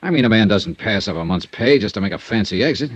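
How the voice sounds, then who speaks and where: A quick hard-boiled investigator, lively pitch, dry and probing, old radio show. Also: male voice, husky, lo-fi sound. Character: confident hard-boiled male insurance investigator. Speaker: insurance investigator and narrator. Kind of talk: 1950s radio drama with narration.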